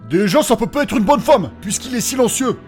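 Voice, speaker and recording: A man acting as a crude, cheeky amateur chemist on a fantasy quest. His voice is high and swings widely, with a colloquial know-it-all tone fit for a comic audio drama, over faint music. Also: lively intonation, fairly quick. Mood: offhand.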